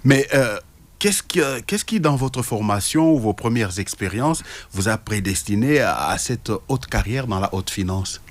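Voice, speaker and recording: A man's voice: mid-range voice, lively intonation, moderate pace. A man hosting a radio interview, with frequent hesitations. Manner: hesitant, many filler sounds. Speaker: radio interviewer, show host.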